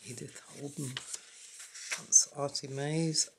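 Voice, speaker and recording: A hoarse woman hosting a papercraft channel, quietly naming each item in a casual journal flip-through with a croaky voice. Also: deep voice, steady tone, very noisy. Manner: quiet, halting, naming items one by one.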